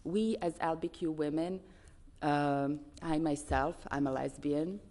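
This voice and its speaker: A woman from Serbia with a Serbian accent giving a formal conference speech as an advocate, in an earnest, halting way with deliberate emphasis on listed points. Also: sits low, a little mellow. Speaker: advocacy organisation representative speaking at a conference.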